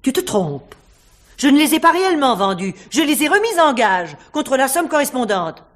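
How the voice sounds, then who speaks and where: An actress playing a proud, defiant unfaithful wife in a radio mystery play, with a theatrical, indignant manner. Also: female voice, sits high, very animated, moderate pace. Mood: haughty, defiant.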